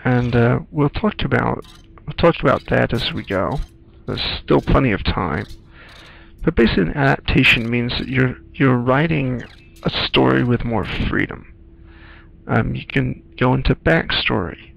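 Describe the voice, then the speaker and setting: A man giving casual playthrough commentary, explaining things in a halting, thoughtful way with game audio behind him. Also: medium pitch, lively intonation, vocal fry, a bit bright, highs cut off. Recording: faint noise, game sounds behind. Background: video game audio.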